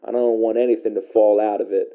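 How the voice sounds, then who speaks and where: A man playing a weary working-class caller on a radio call-in drama, telling an eerie first-person story in a folksy, halting way through a narrow radio filter. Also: lowish voice, little pitch movement, creaky. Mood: relieved, reflective. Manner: folksy, colloquial, halting, hesitant delivery. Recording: narrow tinny phone-like radio sound.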